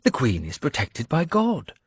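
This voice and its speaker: A man's voice: mid-range voice, wildly swooping pitch, unhurried, slightly rough, dry recording, clean studio sound, voice pushed up, full character voice. A man voicing a pious, earnest priest who reproves his lord in an audiobook. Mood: reproving. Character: pious, reproving male priest bearing a message.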